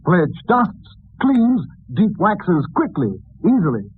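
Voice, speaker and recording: A man's voice: high voice, very animated, takes it slowly, husky. A man reading a scripted vintage radio advertisement as a commercial announcer. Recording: thin narrowband vintage radio recording.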